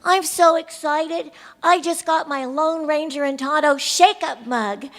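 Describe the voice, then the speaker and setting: A woman playing an excited child in an old-time radio commercial. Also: high-pitched, a little slow. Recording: echoing hall.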